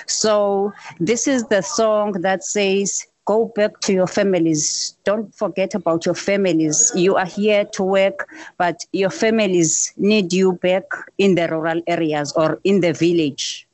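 Slow-spoken woman. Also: medium pitch, fairly even intonation, a little mellow, dry recording. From South Africa. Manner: slow, repetitive, plain answers. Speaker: musician guest. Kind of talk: interview answers.

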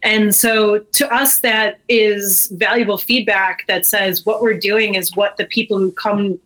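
A woman's voice: medium pitch, fairly even intonation, measured pace, fairly bright tone, low-quality recording. A woman talking candidly and informally as a guest in a casual interview. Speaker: guest, brewery co-owner.

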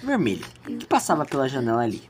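A woman's voice: rumbling low, huge pitch swings, fast, music in the background. A woman with a Brazilian accent narrating an audio drama in a storytelling style. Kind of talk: storytelling narration, loosely scripted.

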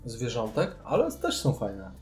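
A man's voice: mid-range voice, wildly swooping pitch, measured pace, faint music behind. A man chatting casually about board games as a podcast co-host. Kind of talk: casual board game discussion.

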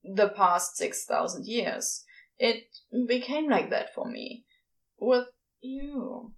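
A woman voicing a gruff, lovestruck male celestial being, confessing in halting, casual, clipped speech for audio fiction. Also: on the high side, animated. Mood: halting, earnest.

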